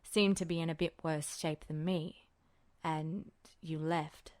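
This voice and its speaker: A woman voicing a composed, formal older man in an audiobook story, speaking in a measured, understated way. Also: low-pitched, fairly even intonation, darkish tone, no echo, voice pushed down. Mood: steady, concerned.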